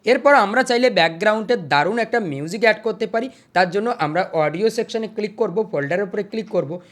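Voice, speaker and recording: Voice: medium pitch, animated, a little fast. Step-by-step tutorial host with mid-range voice. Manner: instructional, repeats each step before the next. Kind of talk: step-by-step screen tutorial narration.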